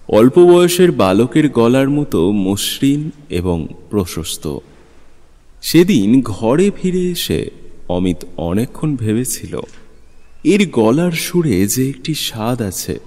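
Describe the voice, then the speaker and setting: A man narrating a literary story in a measured, expressive, dramatic style. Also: mid-range voice. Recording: long studio reverb, music underneath. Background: music.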